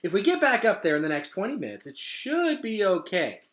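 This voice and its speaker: Guy reading as a nervous polite kid from a fantasy book, stubborn, blurts stuff, bright voice. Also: high-pitched, huge pitch swings, a little fast, reverberant, voice pushed up, heavily acted. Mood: urgent. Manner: blurting, exasperated, muttering asides. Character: anxious, polite, stubborn boy hero of a fantasy storybook.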